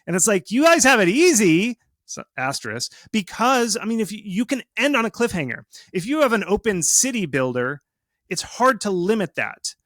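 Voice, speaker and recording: A man's voice: high-pitched, normal speed, no echo. A man giving casual, conversational expert advice in an emphatic, informal way, often acting out imagined reactions. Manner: animated, acts out imagined reactions and quotes. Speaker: guest expert on game marketing.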